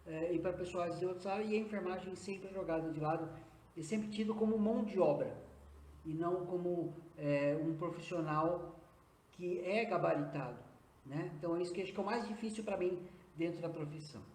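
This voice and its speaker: A man in his fifties with a Brazilian accent, a nurse and acupuncturist answering interview questions earnestly, often pausing on hesitant fillers. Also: high-pitched, darkish tone. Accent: Brazilian Portuguese. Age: 50s. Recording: roomy echo.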